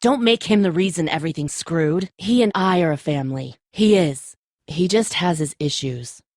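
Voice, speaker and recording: Tough stressed survivor boss lady in a zombie game, lowish voice, blunt and protective, super dry sound. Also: lively intonation, moderate pace, a little mellow, no room sound. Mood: weary, explaining. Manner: blunt, urgent, commanding. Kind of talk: dramatic video game voice acting.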